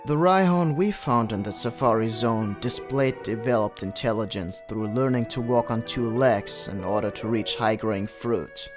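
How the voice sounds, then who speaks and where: A man playing a cold, ruthless monster trainer in an anime-style fan dub, lecturing with contempt and bursting into shouts. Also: medium pitch, natural voice. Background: background music.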